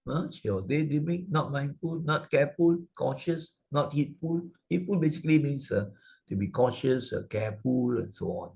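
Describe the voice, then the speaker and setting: A man's voice: mid-range voice, vocal fry, darkish tone. A man with a Malaysian English accent, a Buddhist Sunday school teacher explaining moral stories to children over a video call, full of "uh" and tag questions like "right?" and "isn't it?".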